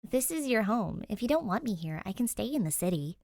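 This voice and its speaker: A woman's voice: medium pitch, very animated, fairly quick, creaky, a little mellow, dry recording, clean studio sound. A woman voicing a friendly, curious young girl in a story, speaking directly and eagerly. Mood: earnest.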